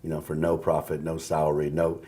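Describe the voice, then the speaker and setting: A man's voice: extremely low pitch, monotone, fairly quick, mellow. A man appearing as an interview guest in a studio, speaking earnestly with a little joking. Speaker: guest, husband. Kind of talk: interview conversation.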